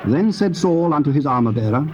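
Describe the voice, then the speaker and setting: A man narrating scripture in a solemn, measured and formal manner. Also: on the high side, animated, soft background music. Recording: dull, hissy old cassette tape.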